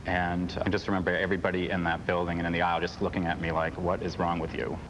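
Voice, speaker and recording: A man's voice: deep voice, level tone, fast. A man recounting events in an interview, hesitant and earnest. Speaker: air traffic controller being interviewed. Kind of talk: interview recollection. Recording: clearly noisy interview audio.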